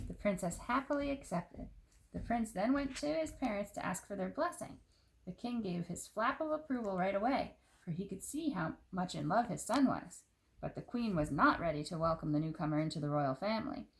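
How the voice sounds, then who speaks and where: Female children's storyteller: expressive read-aloud, very lively pitch, touches of vocal fry. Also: a little fast, poor audio. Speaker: storyteller reading a picture book.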